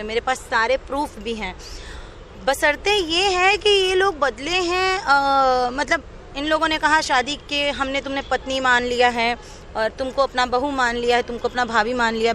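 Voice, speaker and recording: A woman with a fairly high voice gives a detailed, earnest account in an interview. She speaks unscripted, outdoors in a city, with faint background noise. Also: a bit bright. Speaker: interviewee telling her own account.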